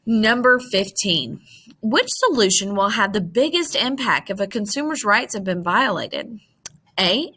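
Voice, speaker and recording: A woman teacher reading multiple-choice test questions aloud, with deliberate, emphatic enunciation of each option. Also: medium pitch, pushed and bright.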